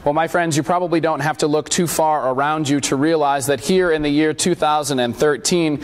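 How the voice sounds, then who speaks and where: Scratchy-voiced man preaching at a pulpit. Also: on the high side. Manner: measured, deliberate reading with emphatic rises. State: scratchy voice from allergies. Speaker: pastor. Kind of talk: sermon with scripture reading. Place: church sanctuary at a pulpit. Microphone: lapel mic.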